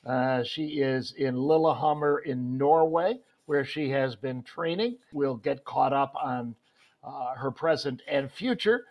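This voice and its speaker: A man's voice: medium pitch, slow, darkish tone. A man hosting a sports interview, talking in a rambling way with many fillers and restarts. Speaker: host.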